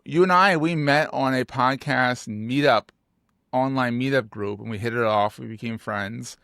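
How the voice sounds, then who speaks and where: This is a man from Philadelphia hosting a podcast, chatting casually with a guest. His voice has a gravelly edge, and the sound is dry and close. He talks in an animated way, cracks jokes, and repeats words for emphasis. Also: mid-range voice, moderate pace, no echo. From United States. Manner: animated, joking, emphatic repetitions. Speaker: podcast host. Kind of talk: casual interview chat.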